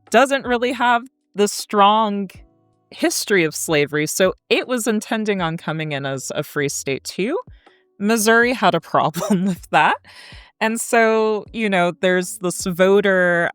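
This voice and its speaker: A woman in her thirties with an American accent speaks in a low, exceptionally smooth and resonant voice. She takes her time, explaining things in a reflective, articulate storytelling manner, as a scholar being interviewed. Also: fairly bright tone, dry recording. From Kansas, United States. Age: 30s. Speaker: academic guest, historian.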